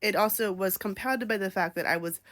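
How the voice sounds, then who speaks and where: A chatty woman talks straight to camera in a casual vlog, candid and full of little asides and fillers. Her voice sits at a middling pitch and is recorded close on a dry mic with no room sound. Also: brisk, fairly bright tone, no echo. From born in Japan, raised in New York City. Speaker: content creator.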